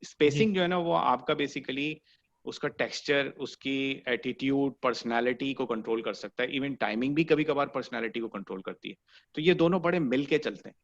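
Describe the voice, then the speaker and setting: Guy w explaining animation on a video call, mid pitch, kinda muffled. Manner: explanatory, measured, asks if students follow. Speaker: animation instructor. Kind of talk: online class lecture.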